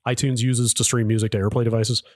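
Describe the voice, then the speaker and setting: A man's voice: mid-range voice, level tone, fast talker, no echo, clean studio sound. A man co-hosting a casual tech podcast, wry and rambling, who also reads listener emails aloud. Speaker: podcast co-host.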